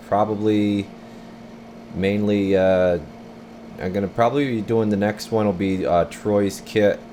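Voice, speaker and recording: A man's voice: sits low, a little mellow, a little hiss or hum. A man talking casually and unscripted as he gives a laid-back, rambling radio equipment demonstration. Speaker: channel host demonstrating a CB radio. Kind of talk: casual unscripted demo talk.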